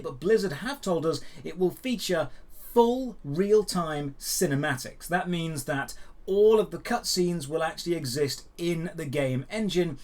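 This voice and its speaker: A man hosting a news show, giving opinionated commentary straight to viewers with emphatic phrasing and dramatic pauses. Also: a little high, a little mellow. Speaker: host of a daily gaming news show.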